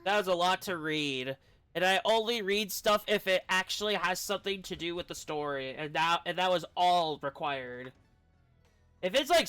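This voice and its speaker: A man's voice: sits high, sharp tone, clear, resonant tone. A man who streams games, reading story text aloud and adding casual commentary. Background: video game music.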